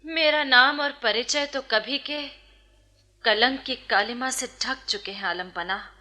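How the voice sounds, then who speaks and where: A woman's voice: fairly high pitch, a little slow, pushed and bright. An actress playing a mysterious, sorrowful and fearless young woman in a Hindi radio play, speaking in a poetic, dramatic way. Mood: sorrowful, guarded.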